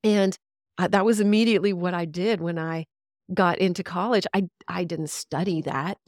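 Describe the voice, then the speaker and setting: Chatty woman in her fifties, low-voiced. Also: steady tone, moderate pace, a little mellow, dry recording, clean studio sound. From Central Texas, USA. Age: 50s. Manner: reflective, chatty, with easy laughs. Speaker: podcast guest, radio veteran. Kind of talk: casual interview storytelling.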